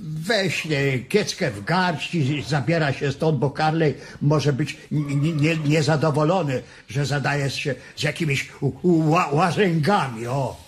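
A man acts a stubborn old farm labourer in a radio play. He speaks slowly and haltingly, stumbling over words with indignant insistence, over clear background noise. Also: on the high side, close to an everyday voice. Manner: stammering, hesitant, halting speech. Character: stubborn, aging ranch hand, halting and indignant. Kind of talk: radio drama acting. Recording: noisy radio play recording.

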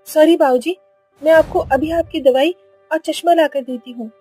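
A woman voicing a respectful, caring young daughter-in-law in a cartoon, politely and gently. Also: sits high, normal speed, soft background music, close to an everyday voice. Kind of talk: Hindi cartoon voice acting.

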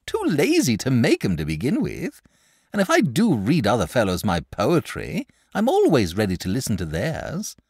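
A man's voice: mid-range voice, wildly swooping pitch, moderate pace, a little mellow, slightly rough, no room sound, clean studio sound, voice pushed up. A man voicing a genial, bashful male dragon who is a lazy poet, talking in a chatty, polite, eager way. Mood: cheerful.

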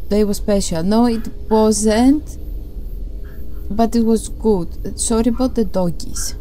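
A woman's voice: medium pitch, dark tone, a little hiss or hum. A woman giving casual playthrough commentary on a horror game, reacting aloud and talking to herself and the game. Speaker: gaming video host.

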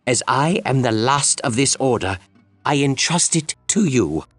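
An actor playing a grave, anguished village priest in a video game, speaking in a solemn, theatrical, old-fashioned way. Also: male voice, mid-range voice, expressive, unhurried, no echo, faint music behind. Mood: solemn, grateful. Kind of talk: dramatic video game dialogue.

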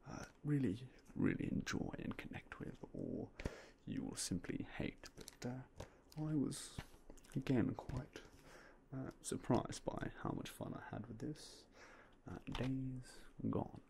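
Gravelly whispering man, ASMR shopkeeper. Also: medium pitch, expressive, takes it slowly, slight background noise. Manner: soft, polite, mostly unvoiced whispering. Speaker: game store clerk in roleplay. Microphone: binaural mic, close up.

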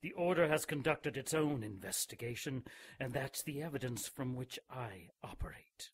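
A man's voice: medium pitch, animated, normal speed, breathy edge. A man playing a menacing old vicar of a secretive holy order in a radio drama, speaking formally and imperiously. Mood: cold, menacing.

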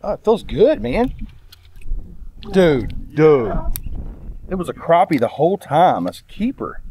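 An excitable man hosts a fishing vlog outdoors on a boat, his pitch swinging widely. Faint outdoor noise sits behind him. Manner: excitable, exclaiming, quick laughs. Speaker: fishing channel host.

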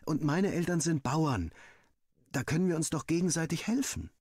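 An earnest schoolboy hero from a storybook, acted by a male narrator. The voice sits higher than the man's narration and sounds eager and quick-witted. The recording is very dry. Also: darkish tone, breathy edge, dry recording. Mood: encouraging.

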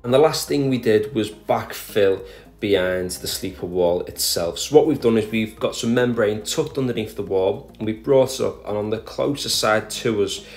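Low-voiced man explaining a DIY build. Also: normal speed, darkish tone, soft background music. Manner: plain, methodical explaining with small restarts. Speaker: garden DIY vlogger. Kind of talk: voice-over narration of a DIY project.

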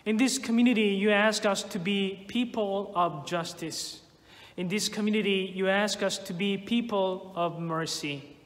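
A minister reading prayers in a slow, measured liturgical manner in a church sanctuary. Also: male voice, sits high, big-room sound. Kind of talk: leading prayers in a church service.

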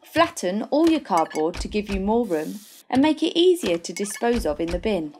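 A woman's voice: medium pitch, very animated, moderate pace, darkish tone, slight background noise. A young woman playing a sardonic narrator of a comic fairytale, wry and knowing in her scripted narration. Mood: instructive. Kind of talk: scripted storybook-style narration. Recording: music bed under voice-over.

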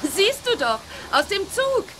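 A woman's voice: very high-pitched, big pitch swings, a little slow, a bit bright, a little hiss or hum, heavily acted, low-quality recording. A woman playing a cheeky, plucky young witch girl in a children's radio play. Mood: cheeky, rueful.

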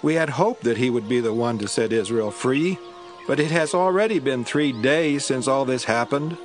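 A man's voice: mid-range voice, normal speed, faint music behind, lightly acted. A man playing an earnest, sorrowful disciple in an audio Bible drama, heartfelt in delivery.

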